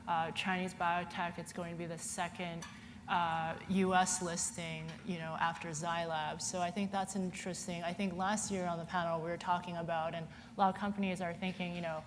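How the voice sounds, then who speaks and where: A woman from Hong Kong talks on a conference panel in a chatty way, with many "you know"s and restarts. Her voice sits fairly low and stays flat. The sound carries a long hall reverb over a faint hiss.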